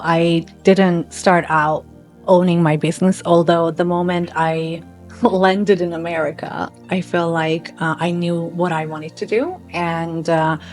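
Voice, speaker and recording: Slovak-born woman guest, low voice, animated and laughing, faint music under. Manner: bubbly, laughs often, animated. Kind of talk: casual interview chat.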